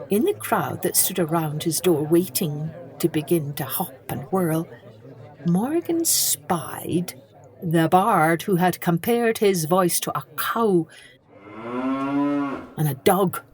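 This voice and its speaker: A woman's voice: fairly low pitch, a little mellow. A woman reading a children's story as a podcast storyteller, expressively, with dramatic emphasis on key words.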